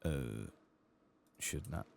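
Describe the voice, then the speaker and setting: A man doing soft-spoken, partly whispered ASMR game commentary, murmuring to himself in an understated way. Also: rumbling low, expressive, very slow, creaky, slight background noise.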